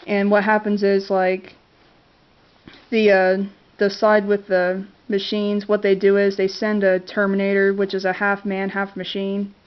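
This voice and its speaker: Woman vlogger with a cold, monotone, halting and filler-heavy, muffled home-camera sound. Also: mid-range voice, clear, resonant tone. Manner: hesitant, many fillers and restarts. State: congested with a cold, clearing her throat. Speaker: vlogger reviewing favorite movies. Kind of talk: casual talk to camera. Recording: dull lo-fi camera audio.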